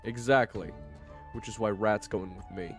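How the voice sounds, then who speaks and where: A man's voice: lowish voice, normal speed, soft background music, lightly acted. A man playing a brash, inquisitive young investigator who prods and needles in a fantasy audio drama. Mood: excited. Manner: prodding, casual, animated. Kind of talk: fantasy audio roleplay acting.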